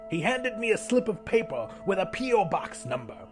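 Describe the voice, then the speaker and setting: A man narrating first-person fiction in a dramatic, expressive, scripted audiobook style. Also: high voice, moderate pace, soft background music.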